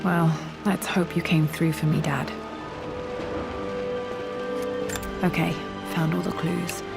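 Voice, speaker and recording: A woman playing a determined, reflective young British woman in a video game, thinking aloud softly in a breathy voice, often near a whisper. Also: lowish voice, little pitch movement, moderate pace, loud music behind the voice. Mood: hopeful, focused. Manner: musing, understated. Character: determined, reflective young woman searching her late father's study.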